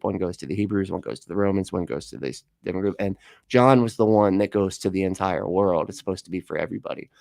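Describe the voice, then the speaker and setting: A man's voice: lowish voice, a little mellow, no room sound, clean studio sound. A man giving casual, rambling and argumentative reaction commentary as a livestream host.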